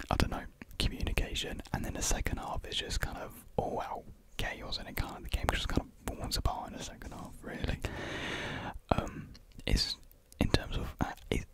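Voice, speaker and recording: A British man in his twenties whispering a casual ASMR ramble softly, close to a desktop microphone in a small room. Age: 20s. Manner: soft unvoiced whispering. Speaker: ASMR video creator. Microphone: close desktop USB microphone.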